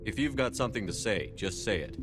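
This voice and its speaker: An actor playing a blunt, guarded military commander in a video game, curt and direct. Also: male voice, lowish voice, animated, a little fast, natural voice. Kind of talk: video game cutscene acting. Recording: music behind the voice.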